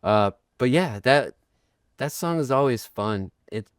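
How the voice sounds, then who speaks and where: A man's voice: mid-range voice, expressive, a little slow, smooth, dry recording, studio quality. A middle-aged man chatting casually as a guest musician, rambling and self-deprecating, with lots of restarts. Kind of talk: casual chat.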